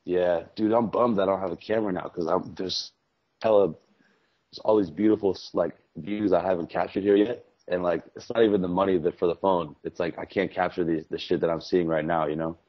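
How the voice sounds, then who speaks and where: A man's voice: sits low, steady tone, brisk. A man calling in as a podcast guest, rambling in laid-back, slangy casual chat. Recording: tinny phone-like call line.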